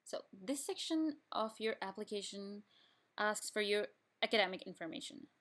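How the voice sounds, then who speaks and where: A young woman from Pakistan whose native language is Urdu, calmly guiding viewers step by step through an online application form as a student. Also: medium pitch, normal speed. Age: young adult. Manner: plain step-by-step instructions with frequent restarts. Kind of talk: screen-recorded tutorial walkthrough.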